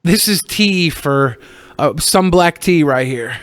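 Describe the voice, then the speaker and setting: A man's voice: fairly high pitch, expressive, takes it slowly, fairly bright tone, clean tone. A man hosting a podcast in casual, profane chat, rambling and joking. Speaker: podcast host.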